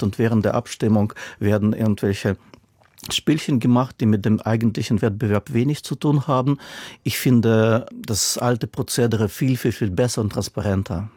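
A husky-voiced man who speaks German as a second language, answering interview questions about his writing. He talks thoughtfully and stacks up repeated words for emphasis. The recording is close and dry. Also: lowish voice, dark tone, no room sound. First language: not German. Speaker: author and interviewee. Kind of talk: radio interview.

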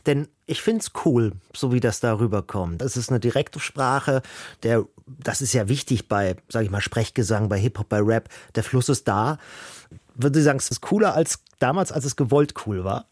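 A man's voice: mid-range voice, moderate pace, darkish tone, no room sound, studio quality. A man hosting a radio music interview, talking conversationally and thinking aloud as he shares his opinions. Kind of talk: radio interview with spoken introduction.

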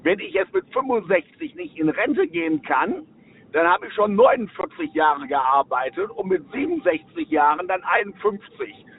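A man's voice: high voice, moderate pace, phone-quality sound. A man in his fifties leaving a frustrated phone message for a radio show. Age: 50s. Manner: frustrated, lively. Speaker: caller on answering machine. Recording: phone message with faint noise.

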